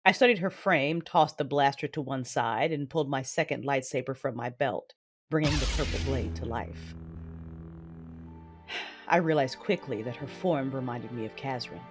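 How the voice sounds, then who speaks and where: An actress playing a cruel, cold cyborg warrior mother in an audio drama, measured, menacing and commanding. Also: a bass voice. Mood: focused intensity. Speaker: narrator of own story in audio drama. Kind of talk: dramatic first-person narration and acting. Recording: music bed behind voice.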